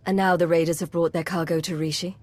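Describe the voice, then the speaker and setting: An actress voices a cool, loyal female agent in a sci-fi video game. Her voice sits a little low with a raspy edge. She keeps her lines terse, guarded and controlled. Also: flat delivery, fast talker, a little mellow, lightly acted. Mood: grave, resolved.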